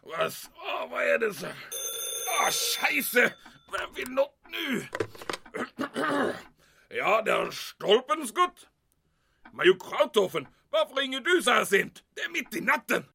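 A man playing a groggy, irritable army major in a comic audio drama, with a gravelly, husky voice. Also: very high-pitched, very animated, sharp tone, full character voice. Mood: groggy, annoyed. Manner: grumbling, halting, exclaiming. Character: groggy, irritable male German army major woken at night.

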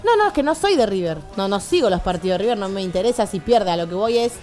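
A woman's voice: mid-range voice, animated, quick pace, darkish tone, faint music behind. A young woman with a Rioplatense Argentine accent, chatting and teasing casually as a radio columnist.

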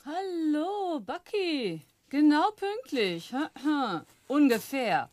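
A woman's voice: high-pitched, sing-song, slow pace, darkish tone, dry recording, exaggerated voice. An actress playing a breezy, teasing woman accomplice in a German radio play, speaking in clipped remarks.

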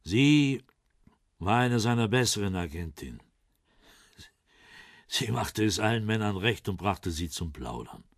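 An actor playing a heavyset older former East German intelligence officer in a radio crime drama, defensive and sardonic, halting between pride and evasion. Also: male voice, fairly low pitch, expressive, dry recording, studio quality. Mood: evasive, cornered.

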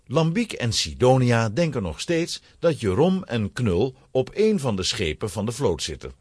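Husky, highly animated male storyteller. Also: dry recording, clean studio sound. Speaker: narrator. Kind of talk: scripted children's story narration.

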